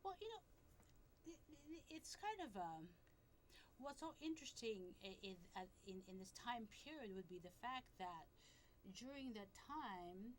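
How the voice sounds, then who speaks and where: A middle-aged woman from the Philippines hosting a studio interview, asking long, winding questions in an animated manner. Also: on the high side, unhurried, a little hiss or hum. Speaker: talk show host.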